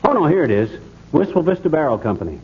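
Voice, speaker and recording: Blustering, animated middle-aged radio husband. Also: male voice, on the high side, fast talker. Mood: bustling. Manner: folksy, blustering, exclamatory. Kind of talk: 1940s radio comedy acting. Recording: noisy muffled 1940s radio recording.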